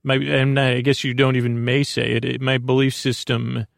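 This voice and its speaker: A man with a creaky voice giving a lulling, meandering, halting bedtime podcast monologue as host, in a self-deprecating way. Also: medium pitch, little pitch movement, dry recording, clean studio sound. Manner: lulling, soothing.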